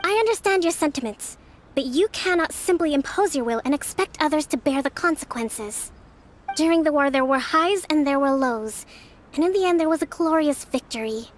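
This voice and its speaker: A woman playing a shrewd, composed young leader in a video game, speaking in a polite, measured and formal way. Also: sits high, lively intonation, slight background noise. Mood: gently firm.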